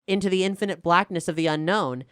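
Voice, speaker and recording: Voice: medium pitch, fast, fairly bright tone, clear, resonant tone, no room sound, clean studio sound. A podcast showrunner and host talking casually with guests, then reading out an earnest promotional announcement to listeners.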